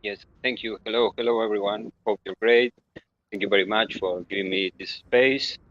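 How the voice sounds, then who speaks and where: A man's voice: on the low side, fairly even intonation, moderate pace, fairly bright tone, muffled recording. A man from Argentina with an Argentine Spanish accent, a non-native English speaker politely asking a question at an online town hall in a friendly, courteous way. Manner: friendly and courteous, with light laughs and restarts. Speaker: audience member asking a question.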